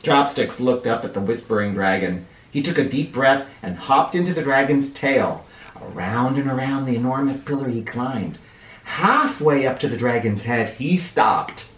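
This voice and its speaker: A man reading a picture book aloud to children in an expressive teacher's manner, pausing to explain. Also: mid-range voice. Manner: animated, with explanatory asides. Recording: roomy sound, distant microphone.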